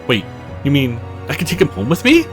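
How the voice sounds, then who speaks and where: A man voicing an excitable, playful young woman in a visual novel game. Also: medium pitch, brisk, soft background music. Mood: overjoyed, emotional.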